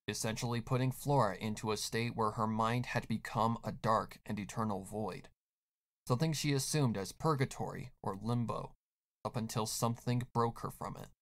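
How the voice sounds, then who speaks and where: A man narrating a horror fan story in a steady, hushed, dramatic voice. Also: medium pitch, normal speed. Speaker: fanfic reader, narrator. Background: music.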